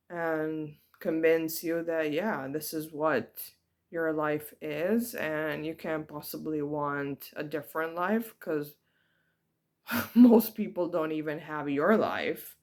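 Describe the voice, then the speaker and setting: A woman giving a personal spiritual reflection to her viewers. She speaks in a hesitant, soft-spoken and heartfelt way, with many ums. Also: sits low. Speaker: spiritual vlogger.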